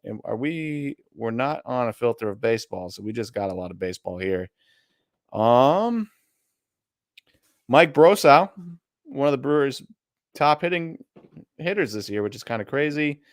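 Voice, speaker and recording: Smooth-voiced Midwestern man, dry sound. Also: medium pitch, no room sound. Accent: Midwestern American. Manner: relaxed, thinking aloud while browsing. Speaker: co-host of a card auction stream. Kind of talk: casual live-stream commentary.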